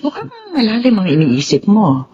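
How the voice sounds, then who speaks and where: A Filipino actress playing a gentle, concerned wife in a radio drama. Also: female voice, mid-range voice, big pitch swings, moderate pace, lightly acted. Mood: concerned, reflective. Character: concerned, trusting wife, gentle with her husband. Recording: dull lo-fi radio sound.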